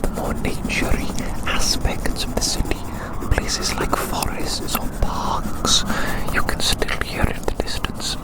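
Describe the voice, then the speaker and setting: An ASMR creator speaking in a soft, breathy, unvoiced whisper, slowly repeating phrases in a gently teasing ramble to the listener. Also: low-quality recording. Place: outdoors in a windy city park. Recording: close whisper, windy outdoor recording.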